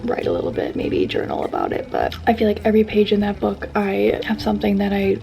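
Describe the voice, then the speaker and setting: Young woman, hoarse voice, mellow tone, chatty vlog talk, faint music behind. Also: medium pitch, huge pitch swings, a little fast. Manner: soft-spoken, chatty, frequent "like" fillers. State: hoarse, losing her voice. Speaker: vlogger and teacher. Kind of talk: casual vlog chat.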